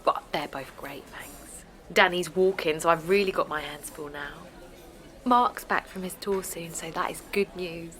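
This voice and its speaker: A woman's voice: lowish voice, measured pace, a bit bright. An actress playing an eager, earnest English woman in a naturalistic audio drama. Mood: friendly. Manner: friendly, earnest. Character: eager, friendly young mother and campaigner.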